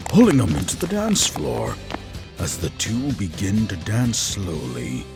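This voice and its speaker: A man's voice: lowish voice, wildly swooping pitch, measured pace, vocal fry, over music. A man narrating a scripted story.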